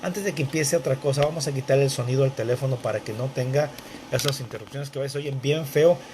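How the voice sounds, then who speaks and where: Casting note: man leading a home Bible study, halting delivery with lots of restarts, mid-range voice, faint air-con hum behind. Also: mid-range voice. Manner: halting, many restarts and fillers. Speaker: Bible teacher. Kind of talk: conversational Bible study intro. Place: bedroom at home. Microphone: wearing headphones.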